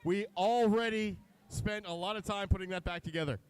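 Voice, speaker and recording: A man with a notably high voice commentates on a robotics match at a live competition. He explains rules and wiring in a technical, explanatory way, often pausing on fillers mid-sentence. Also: clear, resonant tone, no echo. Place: competition venue.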